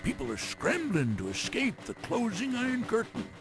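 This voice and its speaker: An actor playing a blustery, folksy, wisecracking mission briefer in theatrical, grandiose train game cutscenes. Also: male voice, sits high, huge pitch swings, moderate pace, over music. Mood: grave, dramatic.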